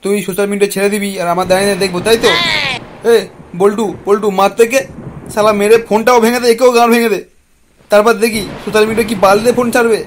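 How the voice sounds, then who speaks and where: Man voicing a high, rapid, blustering cartoon tough lad. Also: flat delivery, slight background noise, close to an everyday voice. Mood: threatening. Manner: blustering, coarse. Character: bossy, combative cartoon young man, protective friend. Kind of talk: comic cartoon dialogue.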